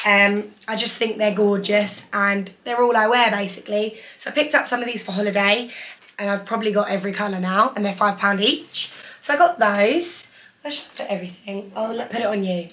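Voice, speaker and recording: A young woman in her twenties, hoarse from losing her voice, chatting enthusiastically through a clothing haul in her living room. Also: medium pitch, fairly quick, bright, forceful tone. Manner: chatty, enthusiastic, holds up each item. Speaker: fashion vlogger. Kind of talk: casual haul vlog. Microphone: camera in front, seated.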